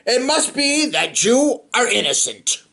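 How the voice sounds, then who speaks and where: A reader voicing a solemn, fair-minded male village judge in a storybook, speaking formally and with measured words. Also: mid-range voice, very animated, vocal fry, bright, forceful tone, exaggerated voice.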